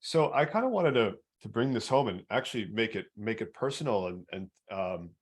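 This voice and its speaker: Low-voiced earnest baritone man. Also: animated, moderate pace, breathy edge. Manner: earnest, repetitive restarts. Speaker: podcast host. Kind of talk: personal storytelling in interview.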